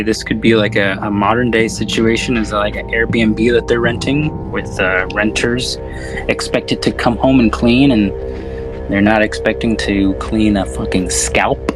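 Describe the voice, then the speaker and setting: Low-pitched man, casual and slangy. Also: poor audio. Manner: laid-back, slangy, profane. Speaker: podcast co-host. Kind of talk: casual film discussion chat.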